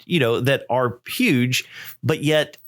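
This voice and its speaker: A man hosting a podcast in casual interview chat, halting and repeating words as he thinks. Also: medium pitch, fairly bright tone, dry recording, studio quality. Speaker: podcast host.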